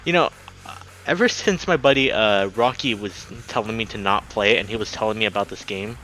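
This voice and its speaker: A man hosting a game livestream, chatting casually and excitably with his viewers. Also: lowish voice, brisk, soft background music, rough recording. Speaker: game streamer and host. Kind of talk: casual livestream chat while playing a visual novel.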